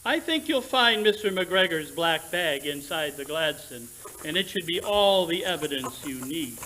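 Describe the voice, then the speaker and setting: A man's voice: a little high, animated, a little slow, sharp tone, low-quality recording. A man playing a shrewd, self-assured, commanding detective in a theatrical 1940s radio drama style. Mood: smug, triumphant.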